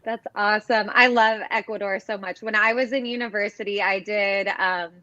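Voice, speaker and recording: A woman's voice: mid-range voice, steady tone, moderate pace, bright, forceful tone, no echo. A young woman from Ohio hosting a live interview, upbeat and often saying "awesome". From Ohio, USA. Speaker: host. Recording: dull lo-fi sound.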